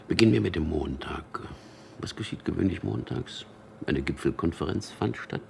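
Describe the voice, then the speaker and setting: A man's voice: a bass voice, vocal fry, darkish tone, a little hiss or hum, full character voice. An actor playing a cynical man in a radio drama, speaking with dry, intimate irony as he talks to his lover. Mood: dry, ironic. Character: Peter, wry cynical man telling mock news to lover. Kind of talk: German radio drama acting.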